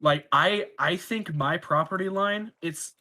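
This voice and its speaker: A man's voice: high voice, unhurried, sharp tone. A man speaking as a candidate in a casual roleplay debate, making his points in long, rambling sentences full of filler words. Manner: rambling, filler-heavy argument.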